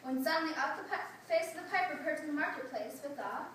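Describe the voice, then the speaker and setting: A woman's voice: sits high, expressive, fast, a bit bright. A woman narrating a verse tale as part of a readers theatre performance. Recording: distant, very echoey room, faint noise.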